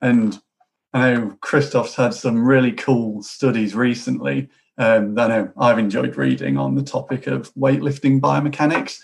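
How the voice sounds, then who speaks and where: A man hosting an online lecture series, warmly introducing his guest. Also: mid-range voice, creaky. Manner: friendly, enthusiastic introductions.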